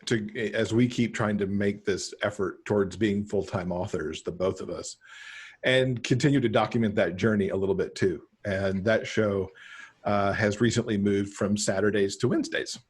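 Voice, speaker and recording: A middle-aged man chatting casually as a podcast guest on a video call, pausing to think as he goes. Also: fairly low pitch, fairly even intonation, husky. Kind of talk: casual conversational interview. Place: home room, on a video call.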